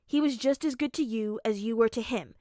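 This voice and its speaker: A woman voicing a blunt, protective, loyal teenage boy who speaks forcefully in a story reading. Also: fairly high pitch, fairly quick, dry recording, studio quality, lightly acted. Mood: firm, protective. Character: firm, loyal teenage boy standing up for friends. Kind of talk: acted audiobook-style fan fiction reading.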